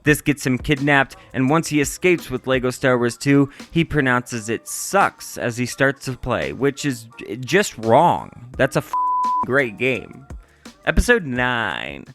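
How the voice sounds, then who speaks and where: A man's voice: medium pitch, very animated, unhurried, a bit bright, soft background music. A man narrating a scripted review as the reviewer.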